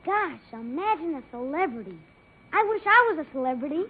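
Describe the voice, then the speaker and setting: A woman's voice: high voice, very animated, a little fast. A woman playing an eager, cheeky ten-year-old boy with slangy, gushing talk in a 1950s radio comedy, on a tinny recording. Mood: starstruck.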